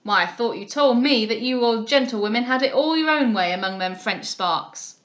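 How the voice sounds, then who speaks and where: A woman voicing a rough, bullying middle-aged English sea captain who talks loud and blustering. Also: fairly high pitch, expressive, moderate pace, muffled recording.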